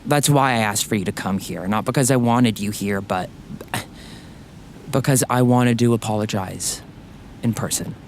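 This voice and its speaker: A man's voice: lowish voice, moderate pace, slight background noise, natural voice. An actor playing an awkward, self-centred young man in an audio drama, hesitant, defensive and boyish. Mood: apologetic. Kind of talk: scripted audio drama acting.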